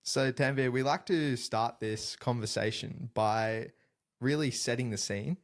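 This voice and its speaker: A man hosting a podcast, posing questions in a measured, scene-setting way. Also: medium pitch. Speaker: podcast host. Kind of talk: interview questions.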